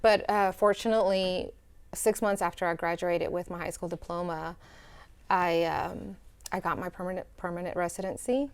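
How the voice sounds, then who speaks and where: A woman's voice: fairly low pitch, steady tone, moderate pace, clean tone. A young woman from El Salvador, a student guest in a studio interview, speaking earnestly and reflectively with fillers and restarts.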